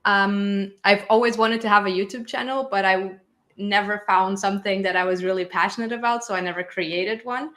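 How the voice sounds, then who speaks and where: A woman's voice: medium pitch, fairly even intonation, a little fast, a bit bright. A woman from Portugal, a guest telling her own story in casual conversation. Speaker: interview guest, video creator. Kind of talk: casual chat, personal storytelling.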